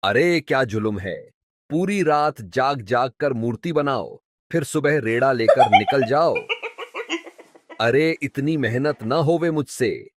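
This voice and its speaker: A man's voice: mid-range voice, expressive, slow. A man playing a humble, cheeky young village sculptor in a cartoon story, complaining in a comic, dramatic, colloquial way. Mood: comic grumbling. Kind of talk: Hindi cartoon story dubbing.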